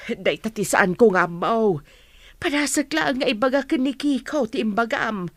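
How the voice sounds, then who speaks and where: A woman's voice: fairly high pitch, lively intonation, moderate pace, a bit bright, no room sound. A woman playing a cautious, questioning village mother in a radio drama. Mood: concerned. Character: wary village mother advising her family. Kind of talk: Ilocano radio drama acting.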